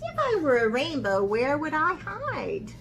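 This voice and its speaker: Lady with a highish voice doing a kids art class and storytime, really expressive and encouraging, noticeable noise behind her. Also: measured pace, muffled recording. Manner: expressive, encouraging talk aimed at young children, with dramatic gasps. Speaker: art camp teacher. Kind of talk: children's art lesson and picture book read-aloud.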